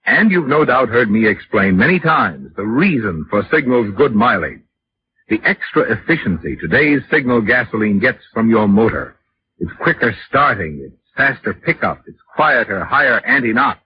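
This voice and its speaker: Male announcer for 1940s radio ad: persuasive, conversational, very varied intonation, medium pitch. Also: big pitch swings, moderate pace. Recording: dull, faintly noisy vintage radio.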